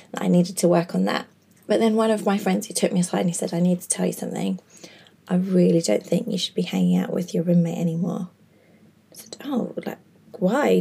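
A woman's voice: fairly low pitch, creaky, dark tone, slightly rough. A woman in her thirties, hosting a podcast and musing earnestly in a chatty way full of fillers. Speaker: podcast host. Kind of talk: reflective monologue.

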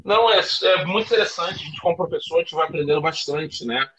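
A man's voice: on the high side, fast, a bit bright, muffled recording, slight background noise. A man who is a teacher and father, talking reflectively on a podcast with frequent tag questions. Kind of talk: casual podcast chat.

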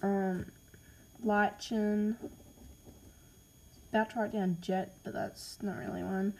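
A woman's voice: medium pitch, flat delivery, slow pace, vocal fry, mellow, slight background noise. A woman with an Australian accent, casually reading out name ideas in a voice message, hesitant and apologetic with lots of ums. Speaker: podcaster listing name ideas.

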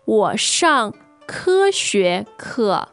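A woman co-hosting a podcast language lesson, modelling phrases with slow, careful pronunciation. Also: mid-range voice, very animated, fairly bright tone, soft background music. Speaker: native-speaker co-host teacher.

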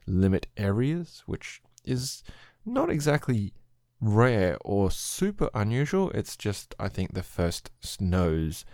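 Low, congested Australian man. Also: very animated, slow pace, a little mellow, no echo, studio quality. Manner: rambling, explanatory, with fillers. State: congested head cold. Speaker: podcast host. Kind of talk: casual solo podcast talk.

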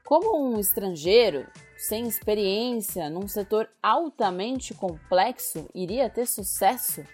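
A woman's voice: medium pitch, lively intonation, darkish tone, breathy edge, soft background music. Woman narrating a scripted news report. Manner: measured, addresses the listener directly.

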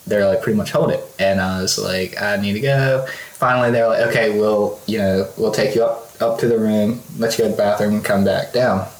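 A man's voice: on the low side, steady tone, brisk. Man telling his story in a roomy space. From Russia. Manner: rambling, many fillers and restarts. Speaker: podcast guest. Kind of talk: casual storytelling chat. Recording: roomy echo.

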